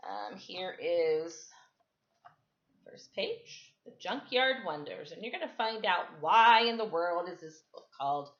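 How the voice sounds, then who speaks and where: A woman's voice: lowish voice, animated, a little slow. A woman reading a storybook aloud for children at home, with casual asides to her listeners. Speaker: storytime reader. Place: indoors at home, kitchen and another room. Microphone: camera microphone. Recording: echoey room, slightly dull camera audio.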